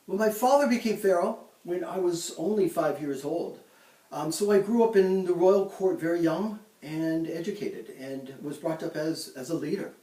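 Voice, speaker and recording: A man plays a proud ancient pharaoh who boasts in a halting way, with many ums and restarts. The voice sits fairly high for a man. It sounds roomy and a little far from the mic. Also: warm tone, natural voice. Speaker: guest in a mock interview. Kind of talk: amateur school-style role-play interview. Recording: echoey room, voice from a distance.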